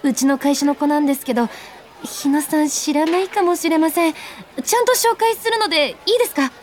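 A woman acting a high-voiced, flustered young office worker. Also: fairly quick, a little hiss or hum, close to an everyday voice. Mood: polite, hesitant. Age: young adult. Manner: polite junior speech, expressive. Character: polite, easily flustered young female office worker with ESP. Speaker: radio drama actress. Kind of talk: Japanese radio drama acting with narrated asides.

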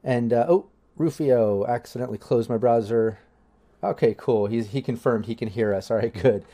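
A man hosts a live tabletop game stream in an easygoing, rambling way. His voice is warm and mellow at a middle pitch, and he talks with many fillers. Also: normal speed. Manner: chatty, loose, many fillers. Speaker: game master and stream host. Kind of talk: casual livestream chat.

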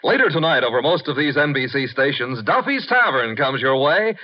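A man's voice: medium pitch, lively intonation, brisk. An American man announcing and narrating in a 1950s network radio style. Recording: dull vintage radio broadcast recording.

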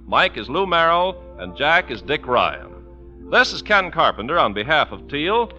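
A man's voice: on the high side, pushed and bright. A man with an American accent announcing on 1940s radio in a polished, emphatic scripted sales delivery. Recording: muffled 1940s radio broadcast.

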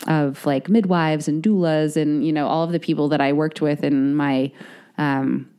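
A woman's voice: sits low, steady tone, moderate pace, mellow, clean tone. A woman in her late thirties, an author, talking reflectively in a casual interview and searching for words with many fillers. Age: 30s. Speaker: author guest.

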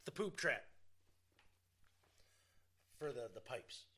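A man's voice: medium pitch, expressive, slow, bright, forceful tone, husky. A man in casual conversation as a co-host, reacting with slangy interjections and everyday phrasing. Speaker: podcast co-host. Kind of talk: casual chat.

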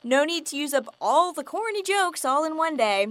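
A woman playing a doctor with a brisk, dry manner, who wraps up a session in a radio drama. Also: high voice, very animated, fairly bright tone, no echo.

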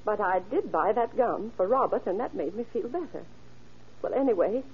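A woman's voice: mid-range voice, lightly acted. An actress playing an elderly, genteel mother who explains herself hesitantly and evasively in an old radio crime drama. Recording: dull vintage radio recording, faint noise.

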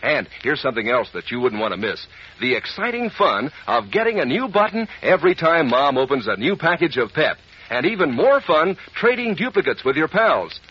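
A man as a commercial announcer giving a folksy, enthusiastic 1940s radio pitch to children. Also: slightly rough. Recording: dull vintage radio recording.

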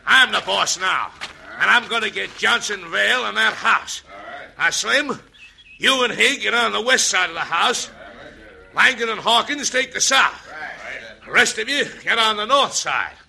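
A man's voice: high-pitched, animated, measured pace, gravelly, bright, forceful tone, exaggerated voice. A man acting a ruthless, swaggering gang boss in an old radio western, with an American Western accent and blunt, menacing delivery, on an old recording. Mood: commanding.

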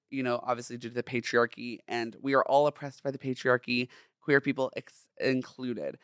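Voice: medium pitch, a bit bright, no room sound, clean studio sound. A podcast host in their twenties with a feminine-sounding voice, talking casually and playfully in chatty, slangy, emphatic monologue. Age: 20s.

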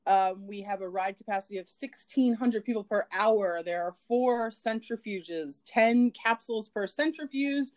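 A woman co-hosting a podcast in relaxed conversation, chatty and giggly, with plenty of ums and likes. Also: mid-range voice, unhurried, few pauses. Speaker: podcast co-host. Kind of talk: casual chat.

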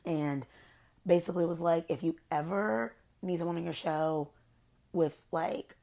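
Young woman, podcast host, hoarse, rambling gossip chat, lowish gravelly voice. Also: normal speed, dark tone. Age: young adult. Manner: chatty, rambling, self-described low-key shady. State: hoarse, has lost her voice. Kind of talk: casual solo podcast chat.

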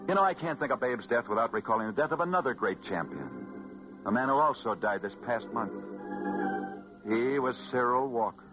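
An American man telling stories as a 1940s radio host, scripted and dramatic, with emphatic repetition and weighty pauses. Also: fairly low pitch. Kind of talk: 1940s radio sports storytelling, scripted narration. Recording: muffled vintage 1940s radio recording. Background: music.

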